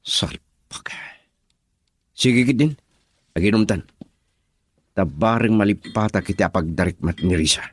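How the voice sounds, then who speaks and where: A man's voice: lowish voice, unhurried, long pauses, breathy edge, no room sound, clean studio sound. A man acting a lovesick, jealous young suitor in an Ilocano radio drama. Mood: determined.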